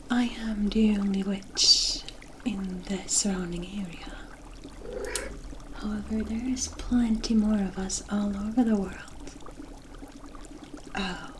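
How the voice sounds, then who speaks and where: A woman's voice: mid-range voice, background noise, lightly acted. A woman playing a mysterious, kindly witch in an ASMR roleplay, speaking in a coy, teasing and cryptic way. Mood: gentle, instructive.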